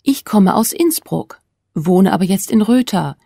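A woman reading a scripted self-introduction slowly, with careful, deliberate pronunciation. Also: mid-range voice, expressive, vocal fry, dark tone, no room sound, clean studio sound.

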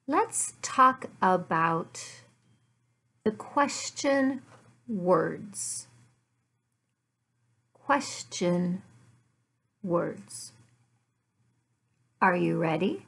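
Very slow-talking American woman teaching. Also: lowish voice, animated, vocal fry, a bit bright, husky. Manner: deliberate, simple short phrases with pauses and repetition. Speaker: English teacher. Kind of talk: beginner language lesson, addressed to learners. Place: small furnished living room at home.

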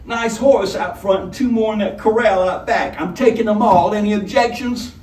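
A threatening, folksy highway robber from a storybook, acted out by a man reading aloud, lays down rough orders in a raised pitch. The sound is roomy and set back from the microphone. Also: moderate pace, darkish tone, close to an everyday voice. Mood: menacing. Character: menacing, swaggering male highway robber making rough threats. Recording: roomy, distant microphone, some noise.

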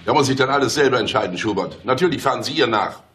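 An actor in a radio drama playing a grumpy, impatient police chief who snaps orders sarcastically. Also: male voice, medium pitch, lively intonation, quick pace, a bit bright, a little hiss or hum, close to an everyday voice. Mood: brusque, commanding. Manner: bossy, snapping, sarcastic. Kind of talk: German radio drama acting.

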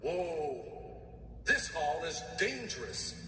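An earnest, alarmed male cop in a 1990s horror game. He speaks slowly and stiltedly with big swings of pitch, in roomy, distant game audio over music. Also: big pitch swings, sharp tone, a little hiss or hum, full character voice. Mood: grave. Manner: stilted, overemphatic line reading.

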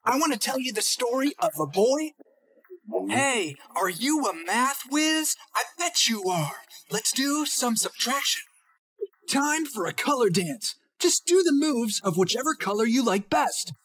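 An excited man in his thirties presenting a children's show with energetic, exclamatory delivery. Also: very high voice, measured pace, husky.

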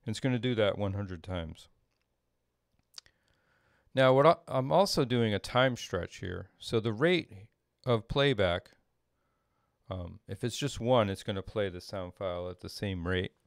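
Middle-aged man, deep voice, vocal fry, informal tutorial delivery, dry close recording. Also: unhurried, no room sound. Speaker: composer demonstrating audio programming. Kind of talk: informal screen-share tutorial. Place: small indoor room at a desk.

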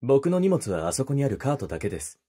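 A man playing a gentlemanly teasing photographer. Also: mid-range voice, sing-song, quick pace, a little hiss or hum, close to an everyday voice. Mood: polite, gentle. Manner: soft-spoken, polite, teasing. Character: gentlemanly young male wedding photographer, polite and teasing. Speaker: voice actor in a situation drama. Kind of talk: audio drama for women, spoken to the listener.

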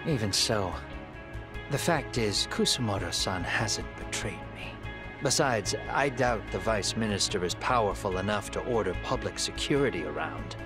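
An actor playing a grave, calculating middle-aged man in a video game drama, speaking in a measured, deliberate way. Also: lowish voice, expressive, over music, natural voice. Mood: analytical. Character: composed middle-aged male fugitive avenger, calculating and grave.

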